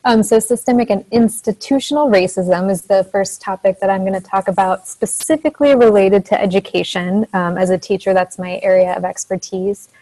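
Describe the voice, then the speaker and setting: A soft-spoken young woman, a teacher, giving an informal educational presentation over a video call. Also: mid-range voice, a little fast, hardly any pauses, low-quality recording. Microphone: earphone mic on a video call.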